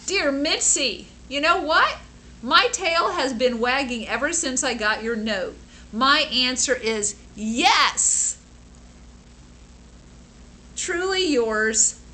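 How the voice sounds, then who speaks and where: Casting: woman as hopeful storybook stray dog, male, pleading and earnest, high and swooping delivery. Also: animated, takes it slowly, bright, forceful tone, a little hiss or hum, full character voice. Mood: joyful. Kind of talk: children's storybook character reading.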